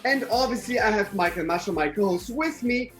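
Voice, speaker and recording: A man in his forties with a German accent, co-hosting a casual chat and telling stories with lively gestures from his living room over a video call. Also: high voice, a little fast, soft background music. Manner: animated storytelling with lively hand gestures. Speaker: podcast co-host. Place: living room with bookshelves and a painting.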